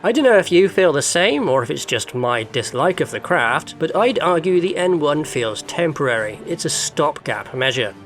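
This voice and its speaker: A man's voice: a little high, sing-song, normal speed, few pauses, fairly bright tone. A man presenting scripted opinion commentary as a video essay host, with a wry, critical manner. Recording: background music behind voice. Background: music.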